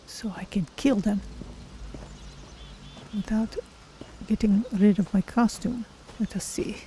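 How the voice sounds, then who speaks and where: A woman's voice: medium pitch, steady tone, slow, dark tone, a little hiss or hum, close to an everyday voice. A woman voicing a female Khajiit cat-person adventurer in a game, musing to herself in short phrases. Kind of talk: in-character game stream commentary.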